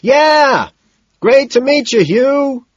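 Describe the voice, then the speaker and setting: A man voicing an impatient, desperate young-money partygoer in an audiobook, shouting insistently. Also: extremely high pitch, animated, drawn-out words, no room sound, lo-fi sound, voice pushed up, full character voice. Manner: shouting over a crush, insistent.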